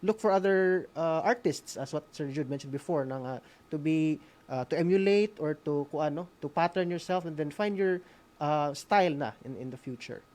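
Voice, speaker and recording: Man, Visayan Filipino accent, higher-set voice, informal advice, hesitant, code-switching. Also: moderate pace, darkish tone. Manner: hesitant, searching for words. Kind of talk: informal advice, switching between English and local language.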